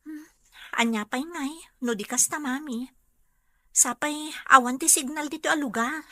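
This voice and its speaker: A woman's voice: sits high, unhurried, pushed and bright, no echo. A woman with an Ilocano accent playing an emotional, pleading woman in a radio drama. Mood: resigned, complaining.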